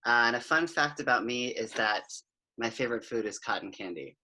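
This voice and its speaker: A man's voice: mid-range voice, fairly quick, bright, forceful tone, rough recording. A man speaking eagerly and in a friendly way as a panel guest on a video call.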